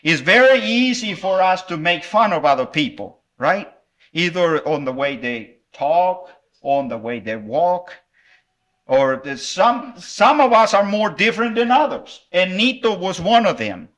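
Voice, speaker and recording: A man's voice: sits high, very animated, unhurried, a bit bright. A middle-aged man from El Salvador preaching at a revival meeting and giving a children's lesson, friendly and conversational with fillers.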